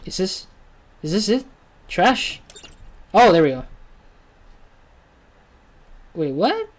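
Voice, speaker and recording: A man's voice: high-pitched, sing-song, a little slow. Rambling young man with a Chinese accent. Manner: rambling, self-correcting, mutters to himself while playing. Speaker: gaming channel host. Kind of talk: casual let's-play commentary.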